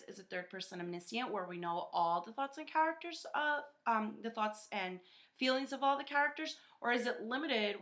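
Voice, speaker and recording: A woman's voice: medium pitch, sharp tone. A woman teaching children through a story read-aloud, instructive, with questions and frequent checking tags.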